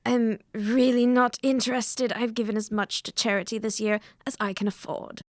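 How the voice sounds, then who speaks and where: A woman acting a curt, suspicious wealthy gentleman in an amateur audio drama, guarded in manner. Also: fairly high pitch, little pitch movement, normal speed, dry recording, clean studio sound, close to an everyday voice.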